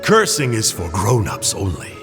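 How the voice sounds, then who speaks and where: An actor playing a sly, glib drifter in a video game, talking to children in a teasing, theatrical way. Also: male voice, on the low side, huge pitch swings, normal speed. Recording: long reverb. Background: music.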